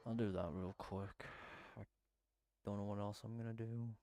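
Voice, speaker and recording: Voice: low voice, steady tone, moderate pace, dark tone. A video game streamer giving casual, rambling commentary while thinking aloud during play. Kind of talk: casual gameplay livestream commentary.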